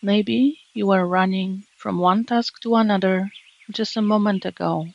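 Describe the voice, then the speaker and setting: A woman meditation teacher narrating a guided relaxation in a gentle, calming, measured way with long pauses. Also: medium pitch, vocal fry, highs cut off. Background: birdsong and nature sounds.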